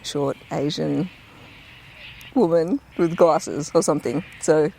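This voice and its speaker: A woman's voice: low voice, slow pace, creaky, warm tone, low-quality recording. Rambling Australian woman, faint noise. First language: Cantonese. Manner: rambling, hesitant, laughs often. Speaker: guest. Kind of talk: casual conversation.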